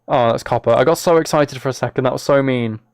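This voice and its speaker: A man's voice: medium pitch, brisk, vocal fry, clear, resonant tone, no room sound. A British man chatting casually on a game livestream, talking to viewers in a mock-exasperated, self-deprecating way. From United Kingdom. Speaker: live streamer.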